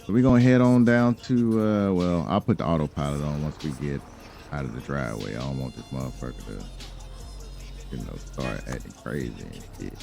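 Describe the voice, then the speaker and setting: A man's voice: very deep voice, a little fast, vocal fry, music in the background. An American man giving casual, chatty gameplay commentary in a slangy, laid-back, rambling way. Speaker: gaming YouTuber hosting a roleplay video.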